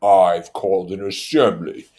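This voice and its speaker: A man's voice: deep voice, measured pace, warm tone. A man voicing an aggressive, contemptuous schoolboy hunt leader in a storybook reading, commanding and accusing. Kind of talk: storybook character voice.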